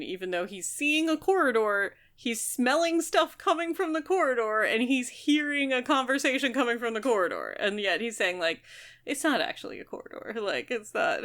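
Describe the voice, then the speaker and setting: A woman's voice: medium pitch, a bit bright. Casual, blunt woman podcaster. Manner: informal, blunt, speculative. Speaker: podcast co-host. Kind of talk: casual book discussion.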